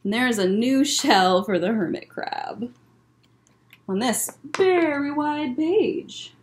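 A young American woman, a children's librarian, reading a picture book aloud to children with expressive, deliberate phrasing and short asides. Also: a little high. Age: young adult. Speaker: children's librarian hosting story time. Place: indoor library room lined with bookshelves. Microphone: webcam at desk distance.

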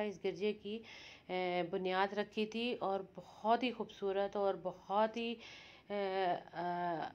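A woman casually narrating a tour of a mosque, pausing often with fillers and restarts. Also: mid-range voice, darkish tone, clean tone, lo-fi sound. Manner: hesitant, frequent "uh" fillers and restarts.

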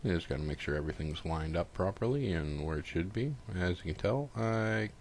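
A man's voice: low voice, lively intonation, a little fast, slight background noise. A man casually narrating a step-by-step repair tutorial in an informal, folksy, unscripted way.

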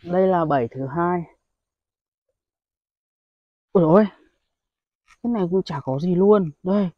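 A man's voice: high voice, normal speed, no room sound, lo-fi sound. A young man, chatting casually to viewers on an outdoor phone vlog, with lots of exclamations. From Vietnam. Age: young adult. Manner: chatty, addresses viewers as brothers, frequent exclamations. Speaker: vlogger. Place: outdoors among rice paddies and hills. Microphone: phone held at arm's length.

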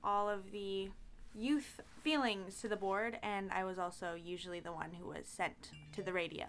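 A woman's voice: medium pitch, fairly even intonation, moderate pace, fairly bright tone, slight background noise. A young adult woman from the Yukon, chatting casually as a podcast guest. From Yukon, Canada. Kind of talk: casual chat.

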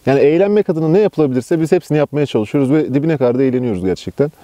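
A man's voice: fairly high pitch, animated, very fast, mellow, no echo. A young man explaining his camping group in a casual, earnest interview as its organizer. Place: outdoors at a campsite.

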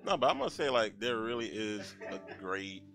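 A man's voice: fairly low pitch, sing-song, normal speed, creaky, music in the background. A man in his mid-thirties chatting casually as a stream commentator, laughing often and leaning on fillers. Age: 30s. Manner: laid-back, laughing, filler-heavy. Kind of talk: casual banter.

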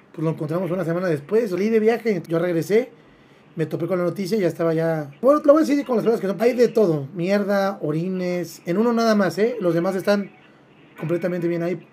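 A man's voice: sits high, brisk, warm tone, a little hiss or hum. A man in his twenties with a Mexican accent, talking casually in crude slang on a prank phone call. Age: 20s. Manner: crude, swearing slang-heavy talk. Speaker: prank caller, streamer. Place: small dark room at home, streaming setup.

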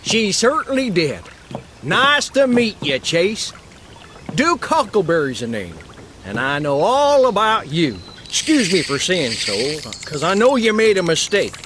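High-pitched folksy park ranger. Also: male voice, big pitch swings, unhurried, noisy background. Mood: friendly. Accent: American. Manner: folksy, drawling turns of phrase. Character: folksy, friendly older male park ranger. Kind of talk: animated video game character acting.

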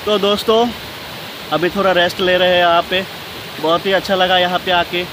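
A man's voice: high-pitched, flat delivery. Chatty young man vlogging by a stream. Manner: chatty, repeats "दोस्तों" and "मतलब", addresses viewers. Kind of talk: casual travel vlog narration. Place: outdoors by a forest stream and picnic shelter. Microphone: phone held at arm's length. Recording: noisy outdoor phone recording. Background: rushing stream water.

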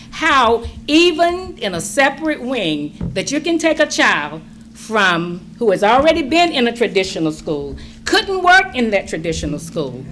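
A woman's voice: high-pitched, a bit bright, a little hiss or hum. Earnest woman pleading at a public forum. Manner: earnest, pleading, with emphatic rising stresses. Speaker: public commenter. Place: large school meeting room. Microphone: standing mic at a distance.

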